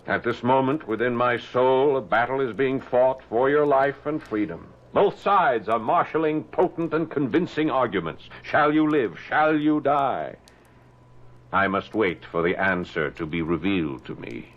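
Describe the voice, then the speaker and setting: An actor in a 1970s radio drama playing a sly, moralizing colonial American statesman with deliberate, wry, pompous oratory. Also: mid-range voice, expressive, close to an everyday voice. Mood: grave, teasing. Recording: dull, band-limited 1970s radio broadcast.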